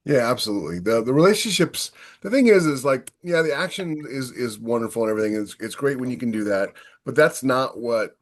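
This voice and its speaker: A man's voice: mid-range voice, expressive, fairly quick, few pauses. A man hosting a casual podcast chat, making his points emphatically and with repetition. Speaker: podcast host and author.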